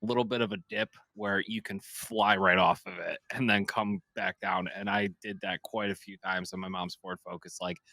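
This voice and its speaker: A man's voice: fairly low pitch, expressive, moderate pace, vocal fry, few pauses, pushed and bright, dry recording. A young man hosting a podcast, chatting loosely, swearing and laughing, wandering off on tangents. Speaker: podcast host. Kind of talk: casual podcast chat.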